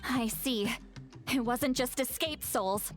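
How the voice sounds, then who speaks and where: A woman's voice: high voice, slow pace. A woman voicing a composed young shaman in a video game, explaining things in a knowing, matter-of-fact way. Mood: sombre, knowing. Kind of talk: English video game voice acting. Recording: music behind the voice.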